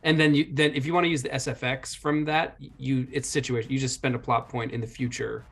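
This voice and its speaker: A man's voice: mid-range voice, fairly even intonation, fairly quick, gravelly, a bit bright, faint music behind. A man at a tabletop roleplaying game, helpfully clarifying rules in a casual way.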